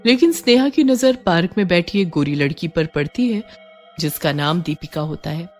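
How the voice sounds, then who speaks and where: A woman's voice: on the low side, lively intonation, moderate pace, darkish tone, soft background music. A woman working as a story narrator, reading a scripted horror tale.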